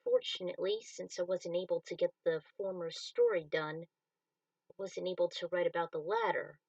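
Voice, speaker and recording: A woman's voice: fairly low pitch, fairly even intonation, normal speed, a little mellow, highs cut off. Distant-miked woman reading a story. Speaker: author reading their own fan fiction. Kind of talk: story narration read aloud. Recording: voice distant from the mic.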